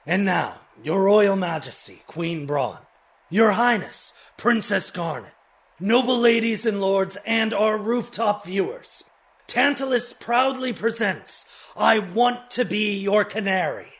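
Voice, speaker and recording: A man's voice: sits high, animated, slow, fairly bright tone, full character voice. An actor playing a theatrical troupe leader in a game fandub, declaiming grandly as announcer and haughty stage king. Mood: grand, ceremonious.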